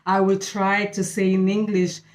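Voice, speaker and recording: Slow-talking Brazilian woman with an echo. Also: medium pitch, completely flat delivery. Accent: Brazilian Portuguese. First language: Portuguese. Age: middle-aged. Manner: halting, searching for words with many fillers. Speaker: channel host. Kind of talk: casual faith talk to camera. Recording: echoey room.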